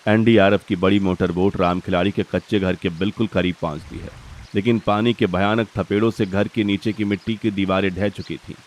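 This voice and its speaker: A man's voice: low voice, fairly even intonation, brisk, a little hiss or hum. A man reading a scripted, dramatic story narration.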